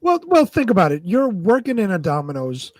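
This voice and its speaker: High-pitched man in casual banter. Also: huge pitch swings, brisk, no echo. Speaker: co-host of a live comedy talk show.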